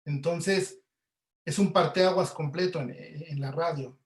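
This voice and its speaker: Mexican guy teaching a webinar, kinda high voice, checks in with little questions, echoey. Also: slow pace. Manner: explanatory, often checks with tag questions. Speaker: lecturer, audio producer. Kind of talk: informal webinar lecture. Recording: echoey room.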